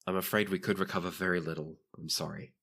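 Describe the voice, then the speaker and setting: A man's voice: low voice, fairly even intonation, quick pace, vocal fry, pushed and bright, slightly rough, heavily acted. A man playing a dutiful, patient hospital attendant who speaks formally and politely in a tabletop game. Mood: apologetic, gentle.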